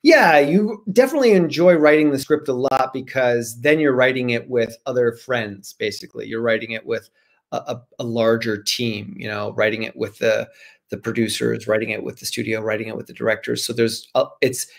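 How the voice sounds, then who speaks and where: A man in his forties, an author guest, casually answering questions over a video call from a room at home in an animated, jokey way. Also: medium pitch, brisk, creaky.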